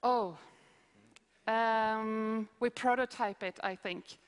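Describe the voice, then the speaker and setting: A Swedish-accented woman with a slightly high voice fields questions at a conference, pausing and restarting as she explains. Her voice rings through a big hall with a long reverb. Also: clean tone. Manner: hesitant, explains with examples. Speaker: conference speaker answering questions. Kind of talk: live Q&A on stage.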